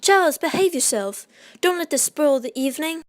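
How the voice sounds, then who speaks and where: A woman's voice: sits high, animated, moderate pace, no room sound, studio quality, voice pushed up. A woman playing a gracious, peacemaking lady in a radio drama, chiding and soothing.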